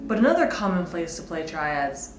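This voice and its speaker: Low-voiced woman teaching guitar, roomy sound. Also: sing-song, moderate pace. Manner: casual, explanatory, thinking aloud. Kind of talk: informal instructional talk with demonstrations. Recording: roomy echo, guitar played alongside. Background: electric or acoustic guitar playing.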